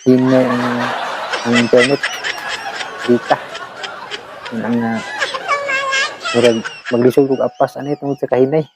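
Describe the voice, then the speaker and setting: A Filipino vlog host with a Visayan accent chatting casually to listeners, rambling in a halting, repetitive and apologetic way. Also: medium pitch, flat delivery, crowd noise, background noise. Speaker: vlog host who shares radio dramas.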